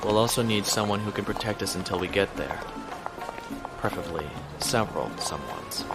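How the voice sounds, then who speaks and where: An actor playing a resourceful military lieutenant on the run in a science fiction audio drama. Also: male voice, on the low side, lively intonation, normal speed, over loud music. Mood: focused.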